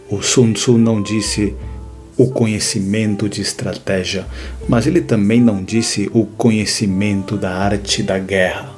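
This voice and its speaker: A man hosting an educational series, explaining ideas in a measured lecture with deliberate, emphatic repetitions. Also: on the low side, darkish tone. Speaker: host of an educational series on strategy.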